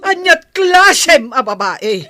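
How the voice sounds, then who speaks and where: A woman's voice: high-pitched, big pitch swings, slow, pushed and bright, heavily acted. A woman playing a harsh mother who scolds and shames, in an Ilocano drama. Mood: scolding. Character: harsh, scolding mother shaming her pregnant daughter. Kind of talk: Ilocano radio-style comedy drama acting.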